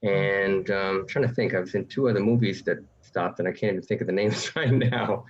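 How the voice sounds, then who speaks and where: Low-pitched man, dull lo-fi audio. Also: a little fast. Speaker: actor. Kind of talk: casual group chat. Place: at home on a group video call. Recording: dull lo-fi video call audio.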